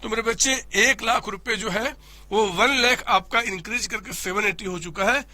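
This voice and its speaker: A man from India teaching an accounting class in a playful, animated way, hoarse from a cold. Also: sits high, moderate pace, no room sound. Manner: animated, playful, addresses students as "my child"; voices students' questions himself. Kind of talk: classroom lecture.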